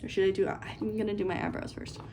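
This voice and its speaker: A woman's voice: medium pitch, fairly even intonation, fast, darkish tone, soft background music. A young woman in a dorm room, rambling playfully as she does her makeup for a get-ready-with-me video. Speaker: beauty vlogger. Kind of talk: casual get-ready-with-me chat.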